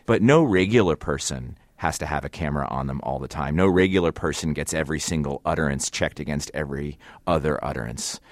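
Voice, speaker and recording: A man's voice: extremely low pitch, fairly quick, few pauses, no room sound. A man hosting a broadcast interview in a conversational style. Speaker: radio interviewer, host.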